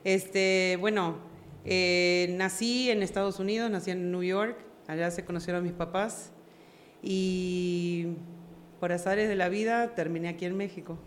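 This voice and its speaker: A woman's voice: on the low side, fairly even intonation, unhurried, a little hiss or hum. A woman, a podcast guest telling her story, hesitant and searching for words. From Argentina. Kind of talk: casual interview chat.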